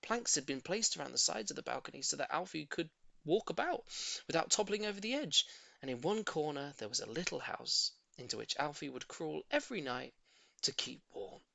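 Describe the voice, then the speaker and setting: A man's voice: fairly high pitch, big pitch swings, brisk, gravelly, bright, forceful tone, no echo. A man narrating a children's story expressively, with dramatic emphasis on key words. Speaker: audiobook reader.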